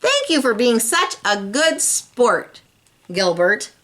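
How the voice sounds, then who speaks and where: A woman voicing an orderly, good-humored female schoolteacher in a storybook, instructing in a deliberate way. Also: fairly high pitch, big pitch swings, fairly bright tone, pitched above the performer's own voice, exaggerated voice. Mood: pleased.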